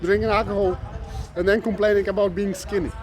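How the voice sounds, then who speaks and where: A man's voice: sits high, little pitch movement, fairly quick. A young man from Poland co-hosting a podcast, telling rambling, profane stories full of slang in a casual chat. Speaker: podcast co-host.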